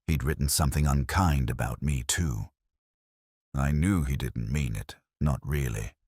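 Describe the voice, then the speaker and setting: A man's voice: extremely low pitch, unhurried, darkish tone, slightly rough, dry recording, studio quality, heavily acted. A man voicing a weary, nervous, guilty caretaker in an audio drama, hesitant and confessional. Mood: sheepish confession. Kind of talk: audio drama character reading.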